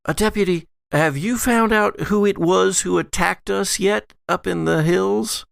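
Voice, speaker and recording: A man's voice: high voice, unhurried, vocal fry, dry recording, studio quality, pitched above the performer's own voice, full character voice. A man voicing a wealthy, upset woman who speaks formally and pointedly in a tabletop audio drama. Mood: insistent, displeased. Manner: polite, pointed, formal wording.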